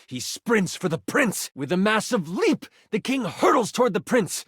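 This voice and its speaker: A theatrical game master with a fairly high male voice. He narrates fantasy adventure with suspense and weighted pauses, recorded close and dry. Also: very animated, fairly bright tone, husky, no echo, full character voice. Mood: suspenseful, excited. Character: living twenty-sided die, dramatic male game master. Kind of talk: dramatic tabletop fantasy narration.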